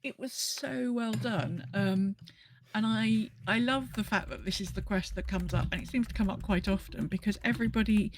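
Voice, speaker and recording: A woman's voice: mid-range voice, normal speed, slight background noise. A woman hosting a casual gaming podcast, chatting in an enthusiastic, rambling way. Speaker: podcast host. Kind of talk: casual podcast chat about a video game.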